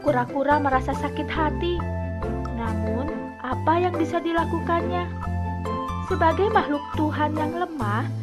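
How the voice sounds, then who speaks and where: A woman narrating a scripted fable for children as a storyteller. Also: sits high. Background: music.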